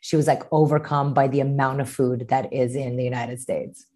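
Low-voiced woman from Romania. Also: steady tone, moderate pace, a little mellow. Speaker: pediatrician guest. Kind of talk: interview storytelling.

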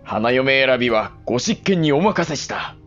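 An actor playing a cold, middle-aged samurai patriarch in a period audio drama, speaking in formal, commanding lordly phrases. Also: male voice, medium pitch, animated, normal speed, a bit bright, dull audio, soft background music, lightly acted. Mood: measured authority.

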